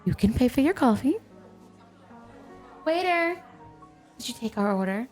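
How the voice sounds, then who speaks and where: A woman's voice: fairly high pitch, big pitch swings, measured pace, a little mellow, faint music behind. A woman playing a playful, possessive snow spirit in an ASMR roleplay, coaxing and teasing the listener with coy, intimate talk. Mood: playfully impressed. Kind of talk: ASMR roleplay, scripted, intimate one-sided dialogue.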